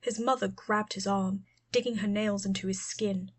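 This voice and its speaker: A woman narrates an audiobook from a script. Her voice sits at a middling pitch as she reads the story. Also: fairly even intonation, normal speed.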